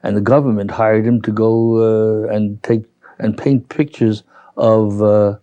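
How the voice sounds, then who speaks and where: An older man with a Brooklyn accent reminiscing as an interviewee, halting and rambling as he recalls. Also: fairly low pitch, little pitch movement, dark tone, dry recording, dull audio. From New York, United States. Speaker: interviewee, retiree. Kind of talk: reminiscing in an oral history interview.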